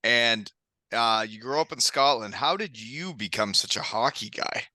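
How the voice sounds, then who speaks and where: A man's voice: medium pitch, animated, measured pace, sharp tone, no room sound. A middle-aged man hosting a podcast as a former hockey player, talking casually, candidly and in a rambling way. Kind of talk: casual conversational podcast chat.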